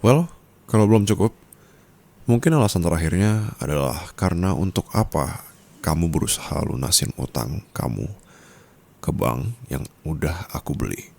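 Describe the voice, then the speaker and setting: A man with an Indonesian accent playing a dominant, teasing, possessive rich husband in an intimate ASMR roleplay, speaking softly and slipping in English endearments. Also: low-pitched, sing-song, vocal fry, full character voice. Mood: calm, possessive. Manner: soft, slow, mixes in English endearments.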